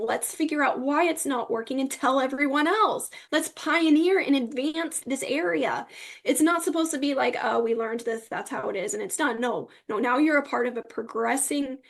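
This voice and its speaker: A young woman being interviewed, with a slightly high voice full of vocal fry. She talks candidly, in a personal, conversational way. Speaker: interview guest.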